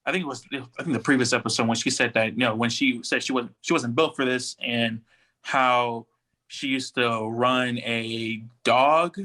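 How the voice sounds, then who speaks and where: Bright, forceful man chatting casually. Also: mid-range voice, steady tone, normal speed. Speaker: podcast panelist. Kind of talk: casual pop-culture discussion.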